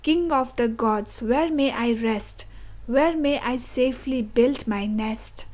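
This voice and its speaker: A woman's voice: on the high side, takes it slowly, darkish tone. A woman voicing a proud, haughty female eagle from a fable in verse, given to grand, insulting speech. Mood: anguished pleading. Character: proud sneering female eagle, bullying, later anguished.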